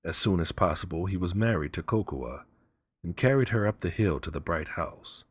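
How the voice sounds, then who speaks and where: A man narrating a story as an audiobook reader, in measured, simple phrasing. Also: sits low, animated, breathy edge, dry recording.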